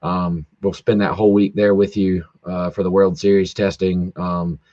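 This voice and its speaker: Hoarse drag racer, low and dry. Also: male voice, little pitch movement, normal speed, mellow, no echo, highs cut off. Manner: rambling, with many fillers and restarts. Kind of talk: casual podcast chat. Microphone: video call, home internet.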